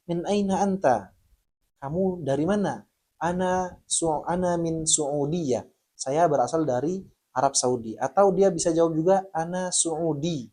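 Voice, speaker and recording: A man teaching an online language lesson in a friendly, patient way. He explains each point and slows down to spell out the example phrases. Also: a little high, dark tone. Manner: addresses viewers as friends.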